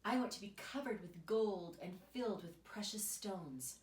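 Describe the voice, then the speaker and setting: A woman's voice: mid-range voice, expressive, measured pace, a little mellow, natural voice. Woman as a proud little boy tree, echoey. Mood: proud, hopeful. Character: proud, ambitious young male tree dreaming of treasure. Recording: roomy echo, distant microphone.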